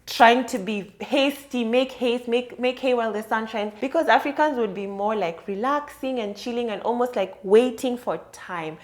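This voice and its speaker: Thoughtful woman hosting a solo podcast. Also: fairly high pitch. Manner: thoughtful, explanatory, conversational. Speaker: podcast host. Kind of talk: solo reflective podcast monologue.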